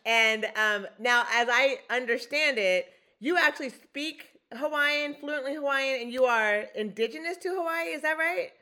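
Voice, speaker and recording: Woman podcast host, chatty and effusive, bright tone, slightly high voice. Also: moderate pace, few pauses. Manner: chatty, effusive, frequent restarts. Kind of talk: casual podcast conversation.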